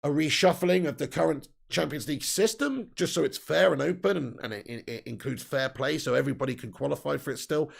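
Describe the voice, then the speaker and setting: A young man hosts a football fan live stream, reading out chat comments and ranting with plenty of swearing. His voice sits a little high for a man, yet it is croaky and deeply gravelly from tiredness. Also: brisk, few pauses. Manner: heated, sweary ranting with laughs. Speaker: football fan channel host.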